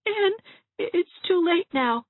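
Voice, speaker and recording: A woman's voice: extremely high pitch, normal speed, dry recording, pitched above the performer's own voice. A woman voicing a frightened, self-pitying young bride-to-be in an audiobook, sobbing and stammering as she wails. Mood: tearful, whiny. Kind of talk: audiobook character voice. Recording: thin phone-like narrow-band sound.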